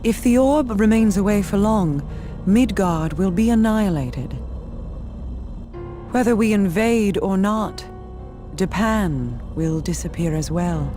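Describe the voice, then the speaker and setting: A composed warrior woman from a fantasy game talks in a mid-range voice. Her manner is cool, deliberate and formal, with a probing edge. Music is clear behind her. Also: medium pitch, expressive, dark tone. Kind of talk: video game cutscene acting.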